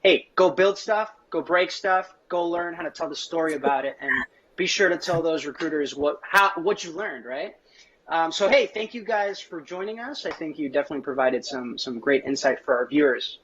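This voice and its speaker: A man's voice: fairly high pitch, animated, normal speed, a bit bright. A man hosting an interview show in an informal, encouraging way. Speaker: host. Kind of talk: upbeat live interview hosting. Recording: dull, lo-fi audio.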